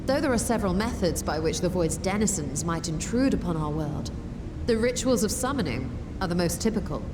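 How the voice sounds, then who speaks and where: A woman's voice: on the low side, expressive, fairly quick, background noise, close to an everyday voice. A woman playing a composed, scholarly video game character who explains things patiently in formal, precise language. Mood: composed, explanatory. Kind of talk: scripted expository game dialogue. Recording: music behind the voice.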